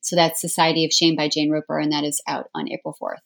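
A woman with a slightly low voice talks as a guest author in a casual interview. She is effusive and emphatic as she recommends books. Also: little pitch movement, fast talker, creaky.

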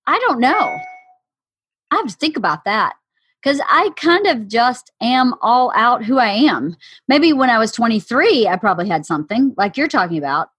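A woman guest in a casual chat, agreeable and quick to laugh, reflective when asked about herself. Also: fairly high pitch, very animated, a bit bright, slightly rough, no room sound. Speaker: podcast guest.

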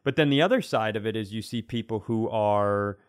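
Man in animated, rambling podcast banter. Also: lowish voice, a little fast, no room sound, clean studio sound. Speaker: comedy podcast co-host. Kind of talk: casual comedic conversation.

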